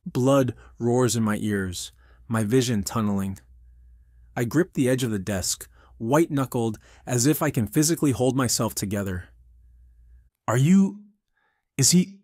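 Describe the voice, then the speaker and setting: A man's voice: mid-range voice, measured pace, pauses often, darkish tone, dry recording, clean studio sound, lightly acted. A man voicing an anguished army veteran who runs a restaurant, narrating his own story in an intense, earnest audio drama style. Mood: stricken, tense. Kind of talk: dramatic first-person scripted narration.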